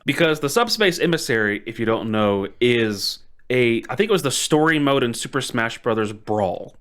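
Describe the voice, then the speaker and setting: A man hosts a casual podcast among friends. His pitch moves around a lot as he talks, and he rambles along in a playful, joking manner. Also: lively intonation, moderate pace, a bit bright. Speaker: podcast host.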